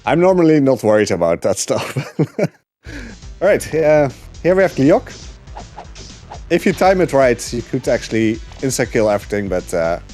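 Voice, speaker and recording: A man's voice: mid-range voice, big pitch swings, normal speed, a little mellow. A young man chatting casually while speedrunning a game, full of fillers and small laughs. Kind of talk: casual commentary while gaming. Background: game music.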